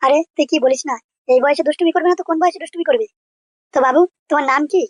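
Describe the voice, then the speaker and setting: A man voicing an indulgent, coaxing male tutor in a comedy cartoon, with a pitched-up voice. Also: racing, no room sound, studio quality. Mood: friendly. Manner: kindly, coaxing. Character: indulgent, easy-going male neighbourhood tutor.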